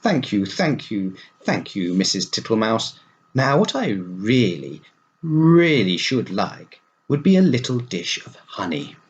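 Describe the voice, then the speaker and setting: A man voicing a fat, smiling, toothless gentleman toad in a storybook, polite, silly and repeating himself. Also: lowish voice, sing-song, unhurried, highs cut off. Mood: politely pleased. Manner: repetitive, polite, leisurely, silly.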